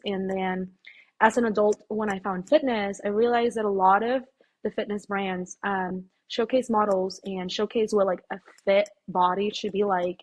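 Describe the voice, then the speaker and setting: A woman from Mexico, a guest on a podcast, talking casually and emphatically about her life, with frequent fillers. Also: mid-range voice. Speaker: podcast guest, fitness apparel founder. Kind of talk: casual interview talk.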